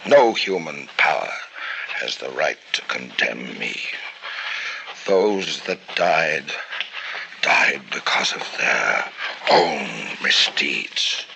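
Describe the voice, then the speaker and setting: An actor playing a condemned male poisoner doctor, speaking in slow, grave tones that drop to whispers, in a 1950s radio drama. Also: extremely low pitch, big pitch swings, creaky, bright, forceful tone, exaggerated voice. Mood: grim, defiant. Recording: noisy old radio recording.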